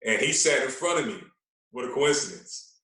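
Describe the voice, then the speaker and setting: A man tells stories casually as an interview guest on a podcast. His voice sits at a middling pitch, with an animated, repetitive way of talking. It is picked up a little away from the mic in an echoey room. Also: normal speed, distant mic. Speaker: podcast guest, radio personality.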